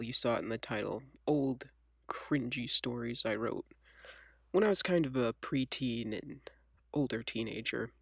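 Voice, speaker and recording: A woman's voice: extremely low pitch, dry recording, muffled recording. A young woman with a scratchy voice, stuffed up from a cold, chatting casually and self-deprecatingly as she tells stories as a host. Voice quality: scratchy, congested. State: sick with a cold, sniffly. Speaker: video host. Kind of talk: casual chat, storytelling.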